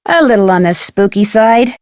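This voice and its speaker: A woman's voice: medium pitch, lively intonation, normal speed, a little mellow, no echo, clean studio sound, close to an everyday voice. A woman playing a curious, polite young detective with an American accent in a video game. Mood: casual. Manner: inquisitive, clearly enunciated. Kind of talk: scripted video game dialogue.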